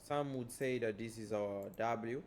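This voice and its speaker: A man whose first language is Portuguese, giving a casual lesson as a trading educator and walking through charts in a relaxed way that leans on repeated stock phrases. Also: mid-range voice, a little slow, a little hiss or hum.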